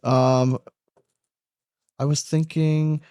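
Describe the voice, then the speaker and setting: A man's voice: fairly high pitch, level tone, very slow, mellow, smooth, dry recording, clean studio sound. A man hosting a podcast, chatting casually about sport in an informal, emphatic way with some swearing. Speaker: podcast host.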